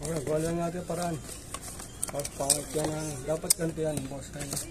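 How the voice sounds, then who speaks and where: A woman's voice: deep voice, little pitch movement, fairly quick, heavy background noise. A Filipino woman in casual conversation, explaining gear to a companion in an informal way.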